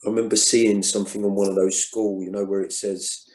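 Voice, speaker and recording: Male guest, low warm voice, muses slowly with lots of restarts, echoey room. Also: flat delivery. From England. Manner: thoughtful, many restarts and fillers. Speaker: guest poet. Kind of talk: reflective conversation.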